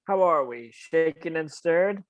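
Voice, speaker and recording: Man acting senior spymaster, breezy, fairly high male voice, dry close sound. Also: lively intonation, a little slow, no room sound. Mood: breezy, unruffled. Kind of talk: amateur table read.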